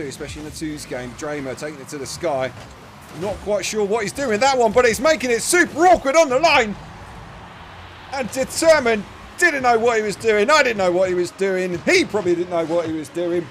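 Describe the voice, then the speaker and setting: A man giving chatty live play-by-play commentary on a match, his voice starting to go hoarse. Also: sits high, brisk, slight background noise. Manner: chatty, self-deprecating asides, bursts of excitement on big plays. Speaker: esports commentator.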